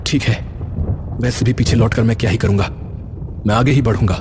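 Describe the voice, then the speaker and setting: A man's voice: mid-range voice, a little fast, noisy background, close to an everyday voice. A man playing a poor, humble young goatherd in a cartoon story, earnest and questioning in a formal way. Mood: amazed, resolved. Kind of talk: Hindi cartoon story character dialogue. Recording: music behind the voice.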